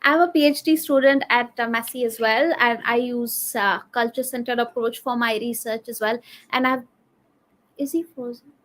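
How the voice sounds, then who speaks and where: A woman doctoral student offering a measured, tentative remark at an academic workshop. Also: fairly high pitch, fairly even intonation, a bit bright. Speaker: audience member, doctoral student.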